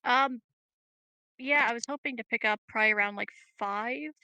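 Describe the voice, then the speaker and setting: A woman's voice: a little high, moderate pace, sharp tone, clear, resonant tone, no room sound, muffled recording. A woman chatting casually as a player in a tabletop roleplaying game. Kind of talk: casual chat.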